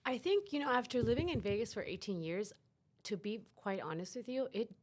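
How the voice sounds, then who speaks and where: A woman's voice: a little high, lively intonation, a little fast, darkish tone. A middle-aged woman from Chile, a podcast guest talking openly and reflectively in a casual interview, often restarting her sentences. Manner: reflective, open, with frequent restarts.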